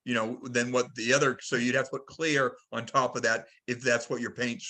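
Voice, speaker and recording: Bright-voiced man hosting a group call. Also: mid-range voice, little pitch movement, a little fast. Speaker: host of a club tech conference call. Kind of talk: casual group discussion.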